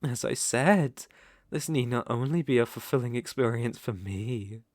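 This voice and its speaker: A man's voice: mid-range voice, measured pace, a little mellow, dry recording, clean studio sound, close to an everyday voice. A British man playing a suave, possessive vampire count in an intimate ASMR roleplay, teasing and commanding in theatrical, old-fashioned phrasing. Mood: seductive, smug.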